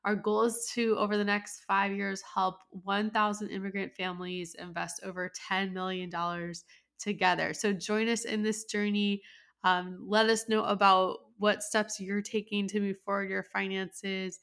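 A woman's voice: medium pitch, level tone, moderate pace, vocal fry, a bit bright. A woman hosting a finance interview podcast, talking in an upbeat, conversational way with the odd "um". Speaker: podcast host.

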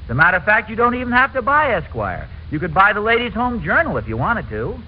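An actor playing a stubborn, chatty suburban husband who keeps justifying himself, in old-time radio sitcom style. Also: male voice, sits high, wildly swooping pitch, fast. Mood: insistent, defensive. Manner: self-justifying, answers his own questions. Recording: muffled, noisy old radio recording.

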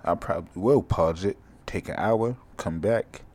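A sleepy young man with a monotone, mumbly voice, hosting a rambling, profane solo podcast. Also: deep voice, unhurried. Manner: casual, profane, drifting from thought to thought. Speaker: podcast host.

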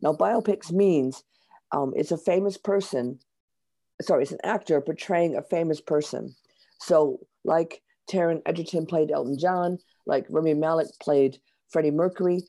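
A hoarse, middle-aged woman with an American accent, answering interview questions in a chatty, explanatory way with some hesitation. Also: deep voice, moderate pace, mellow, low-quality recording. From Michigan, USA. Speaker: guest author.

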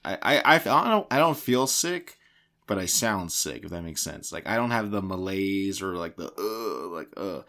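A man co-hosting a casual podcast from a home game room, with a slightly raspy voice recovering from being lost, rambling and repeating words in a jokey way. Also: sits low, animated, normal speed, pushed and bright. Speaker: podcast co-host introducing the show.

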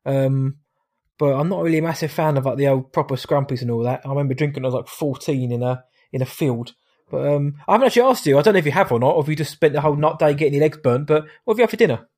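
A man in his thirties with a British accent, hosting a podcast in a chatty, jokey way, his voice light rather than deep. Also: expressive, rapid-fire, a little mellow. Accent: British English. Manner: chatty, jokey, laughs often. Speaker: podcast host. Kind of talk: casual chat.

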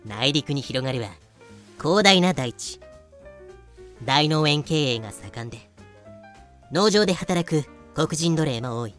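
A woman's voice: low voice, expressive, measured pace, long pauses, fairly bright tone, faint music behind. A woman playing a proud female merchant in an audio drama, lecturing in haughty, old-fashioned speech. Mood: boastful. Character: proud, archaic-talking female merchant from colonial Carolina. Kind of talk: in-character explanation in a voice drama.

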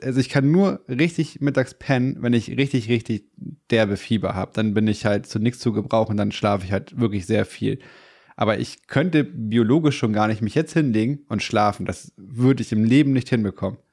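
Guy, low gravelly voice, bit congested, just chatting and rambling on. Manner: relaxed, rambling storyteller. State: slightly congested from a cold. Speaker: podcast co-host. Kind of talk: casual chat.

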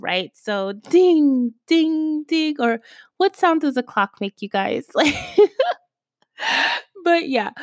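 A woman's voice: fairly high pitch, no room sound, studio quality. A woman talking casually and chattily to her viewers, often asking "right?" and "you guys". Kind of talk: casual motivational talk to viewers.

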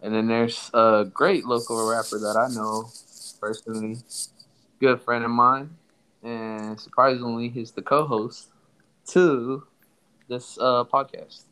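A man's voice: mid-range voice, steady tone, slow pace, a bit bright, clean tone, low-quality recording. A young man from Somalia hosting a casual podcast, loose and joking with his co-host.

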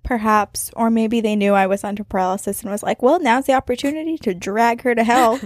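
A woman co-hosting a podcast in a casual conversational style, telling things in an animated way with wry humour. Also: on the high side, brisk, no room sound, clean studio sound. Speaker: podcast co-host. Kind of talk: casual paranormal podcast chat.

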